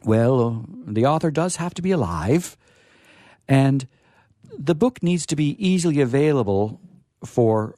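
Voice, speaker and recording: A man hosting a casual live discussion, with a hesitant, halting delivery. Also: medium pitch, animated, warm tone, dry recording, studio quality. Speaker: host.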